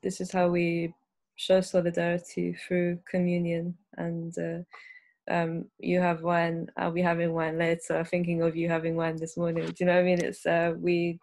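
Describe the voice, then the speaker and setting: A young woman moderating a panel over a video call, wearing headphones. Her voice is lowish and quite level, and she speaks warmly and encouragingly. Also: vocal fry. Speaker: panel host. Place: room indoors with a sofa.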